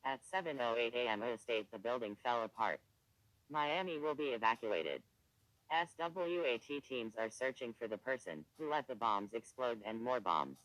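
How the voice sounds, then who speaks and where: A man's voice: mid-range voice, fairly bright tone, no echo, poor audio. A synthetic male voice reading a formal, scripted emergency broadcast announcement as an alert announcer.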